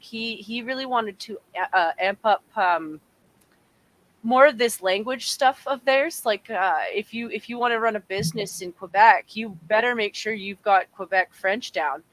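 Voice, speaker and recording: A woman's voice: a little high, normal speed, rough recording. A Canadian woman chatting casually on a podcast, rambling with lots of fillers and restarts. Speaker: podcast co-host. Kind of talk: casual chat.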